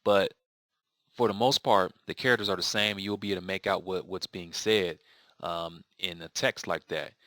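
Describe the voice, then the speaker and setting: A man's voice: low-pitched, fairly even intonation, normal speed, a bit bright, dry recording. A man answering viewer questions in a casual video lesson, rambling with many fillers and restarts. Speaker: language learning vlogger.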